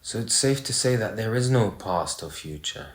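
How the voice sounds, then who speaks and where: A man leading a guided meditation, speaking in short phrases with pauses between them. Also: lowish voice, expressive. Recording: roomy, echoey sound.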